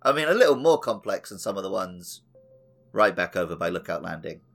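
Low-voiced man, slightly creaky. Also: very animated, fairly quick, a bit bright, faint music behind. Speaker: podcast host. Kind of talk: casual podcast chat.

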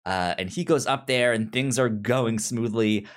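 A podcast co-host chatting casually about comics, stammering and often repeating the starts of words. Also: medium pitch. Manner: halting, stammering, repeats word beginnings. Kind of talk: casual comic book discussion.

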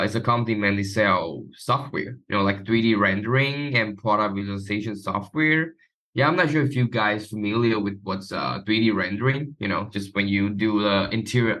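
A man's voice: lowish voice, pushed and bright, low-quality recording. A man from Taiwan, a guest chatting casually in an interview. His phrasing is loose and sprinkled with "you know". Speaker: guest, marketing professional.

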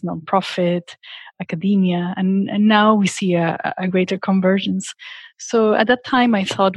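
Female interview guest, middle-aged, from Peru, slightly rough-edged voice, reflective answers, very dry recording. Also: medium pitch, no echo. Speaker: interview guest, philanthropy expert.

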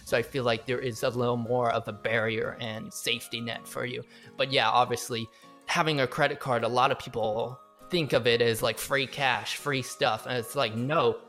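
A man hosting a casual interview and speaking in a measured, conversational way. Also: medium pitch, steady tone, a bit bright, soft background music.

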